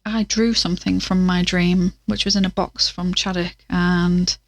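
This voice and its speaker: A woman's voice: lowish voice, flat delivery, measured pace, no room sound. A woman playing an anxious, earnest character caught up in a cult mystery, with a hesitant delivery, in an audio drama. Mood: uneasy.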